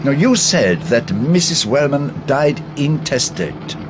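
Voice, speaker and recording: Give this radio mystery a courteous, shrewd male detective with a French-speaking European accent. His mid-pitched voice moves expressively as he questions in formal, careful phrases. There is clear background noise like a vehicle's rumble. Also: very animated. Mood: probing, insistent. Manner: formal, deliberate, inquisitive phrasing. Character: shrewd, courteous foreign male private detective, probing and precise. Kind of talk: radio drama acting.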